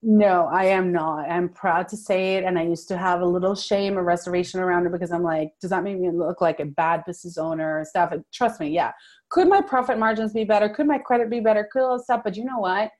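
A woman speaks as an interview guest. She is emphatic and lively, often restarting her sentences. Her voice sits a little low. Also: fast talker. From Venezuela. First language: Spanish. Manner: animated, emphatic, frequent "like" fillers and restarts. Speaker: guest, manifestation coach. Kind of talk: casual interview talk.

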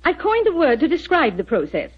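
A woman's voice: high-pitched, huge pitch swings, a little fast. An actress playing a self-assured, formal woman inventor who speaks with theatrical precision in a 1940s radio drama. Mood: lecturing, proud. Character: Doctor Paradise, brilliant, self-assured woman inventor and showwoman. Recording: dull 1940s radio recording.

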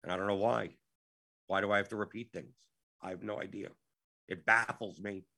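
Guy hosting a live stream q&a, voice totally shot, gravelly and bright, kinda exasperated. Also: fairly low pitch, steady tone, moderate pace. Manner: exasperated, repeats himself. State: voice worn out. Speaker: show host.

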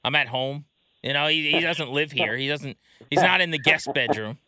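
A man's voice: on the high side, normal speed, sharp tone, slight background noise. A man hosting a casual sports talk interview in a conversational way. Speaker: interview host.

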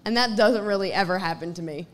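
A woman's voice: medium pitch, fast talker. A woman co-hosting a live podcast before an audience, telling stories with animation. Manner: animated storytelling. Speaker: radio co-host.

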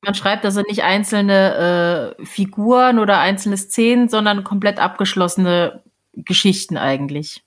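A woman's voice: medium pitch, moderate pace. A woman with a German accent asking casual questions as an interviewer.

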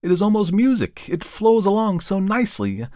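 Man putting on a high, fussy, fretful reverend, exclaiming, dry close sound. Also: sing-song, normal speed, no echo. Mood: puzzled, eager. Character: fretful talkative male reverend, middle-aged.

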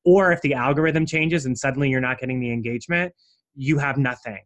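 Guy coaching on branding in a podcast chat, animated, lots of "like", middle pitch. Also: a little fast. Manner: animated explainer, frequent "like" asides. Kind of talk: conversational interview.

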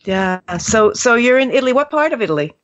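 Woman, interviewer, fairly high voice, enthusiastic, stumbling starts, dry muffled audio. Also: sing-song, fast. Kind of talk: conversational interview.

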